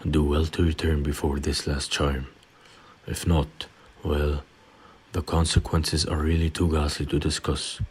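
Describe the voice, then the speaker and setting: A man playing a wise, elderly wizard headmaster with measured, cryptic phrasing in an audio play. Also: rumbling low, darkish tone. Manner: measured, deliberate, portentous phrasing. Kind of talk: dramatic audio play acting.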